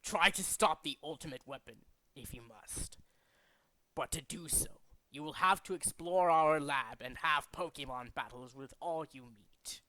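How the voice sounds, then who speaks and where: A man voicing a grandiose, zealous villain leader in a video game, speaking in a deliberate, pompous, theatrical way. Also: fairly high pitch, sharp tone, dry recording, rough recording. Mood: regretful, menacing. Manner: deliberate, dramatic, pompous declaiming. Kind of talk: theatrical video game character demo reel.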